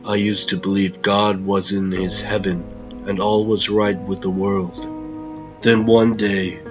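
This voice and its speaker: A man playing a somber crash survivor, narrating his tragedy in a measured, brooding way for a comic dub. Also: fairly low pitch, lo-fi sound, lightly acted.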